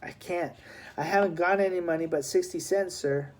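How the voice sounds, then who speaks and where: A man's voice: sits high, normal speed, lightly acted. A man voicing a plucky, anxious runaway city boy in a storybook, speaking earnestly and politely.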